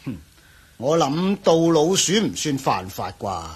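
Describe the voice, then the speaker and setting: A man's voice: fairly high pitch, big pitch swings, slow, breathy edge, heavily acted. A man playing the stern, irritated master of a house in a radio drama, speaking Hong Kong Cantonese with sarcastic challenge.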